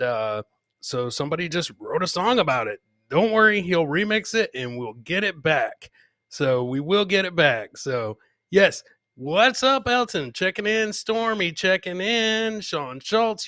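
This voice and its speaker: A man with a bright, forceful, slightly high voice hosts a comedy livestream, getting over a cold. He talks in casual slang and hammers phrases by repeating them. The sound is close and dry. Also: no echo. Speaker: podcast host.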